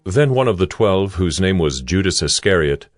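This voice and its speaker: A man narrating scripture from a script in a measured, solemn way. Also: sits low, expressive. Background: music.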